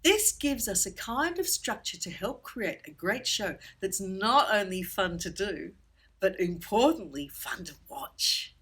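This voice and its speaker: A woman presenting an instructional workshop for children in a theatrical, expressive storyteller's way. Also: medium pitch, a little slow, husky.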